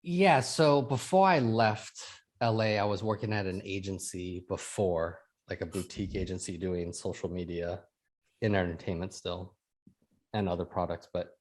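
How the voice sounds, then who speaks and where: A man's voice: sits low, moderate pace. A man in his thirties from Sacramento, a podcast guest telling his story in casual chat, laughing often. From Sacramento, California. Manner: chatty, laughs often, quotes others in lively bursts.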